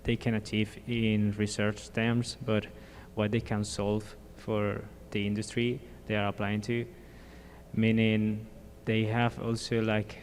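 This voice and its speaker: A man from Colombia answers on a conference panel in a slightly low voice. He speaks plainly, pausing on fillers. His voice rings in a reverberant hall over a faint wash of room noise. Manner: plain, halting explanation with fillers. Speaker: panelist, applied scientist.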